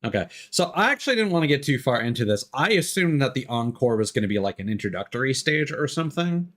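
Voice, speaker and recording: A man's voice: medium pitch, sing-song, quick pace, fairly bright tone. A man streaming a video game with casual live commentary, musing aloud as he goes. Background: music behind the voice.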